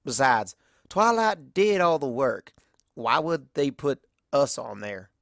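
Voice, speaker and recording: A woman's voice: rumbling low, big pitch swings, slow pace, vocal fry, no room sound, clean studio sound, heavily acted. A woman voicing a sensible, down-to-earth female cartoon farm pony with a matter-of-fact manner. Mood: skeptical.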